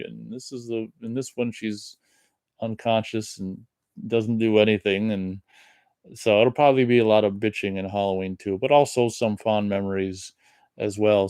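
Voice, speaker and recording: A man in his thirties giving a rambling, reflective solo movie commentary, his voice a little raspy from long talking. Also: lowish voice, a little mellow, dry recording, clean studio sound. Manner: rambling, reflective, many restarts.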